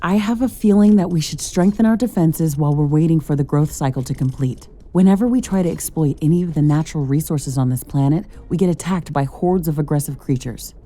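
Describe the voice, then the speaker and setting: Low-voiced, keen scientist-commando, Ashley. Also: female voice, brisk, few pauses, warm tone. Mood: fascinated, analytical. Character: Ashley, eager female scientist-commando in a mech suit. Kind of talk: scripted video game dialogue. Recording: music bed behind the voice.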